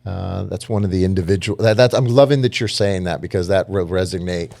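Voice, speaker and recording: Deep-voiced man hosting a casual podcast. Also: brisk, vocal fry, darkish tone. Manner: affirming, chatty, rambling questions. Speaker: podcast host. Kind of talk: casual conversational interview.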